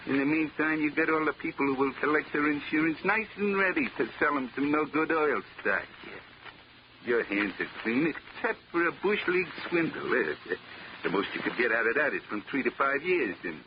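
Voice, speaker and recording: A man's voice: a little high, lively intonation, close to an everyday voice. Man playing a cocky, dim hoodlum, swinging delivery. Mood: self-important. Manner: street slang, bad grammar, slow-witted stalling. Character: dim, cocky small-time male hoodlum, bossy and slangy. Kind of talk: 1940s radio acting. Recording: muffled, noisy 1940s radio recording.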